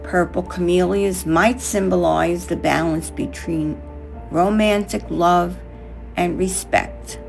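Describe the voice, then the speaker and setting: Slow, low-pitched woman narrating reflectively. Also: fairly even intonation, creaky, soft background music. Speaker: craft tutorial host. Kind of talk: scripted narration over a craft video.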